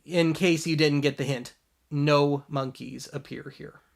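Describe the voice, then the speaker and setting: A man's voice: fairly high pitch, steady tone, a little slow, gravelly. A man hosting a show in a casual, wry commentary style. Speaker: host of a show about old tapes.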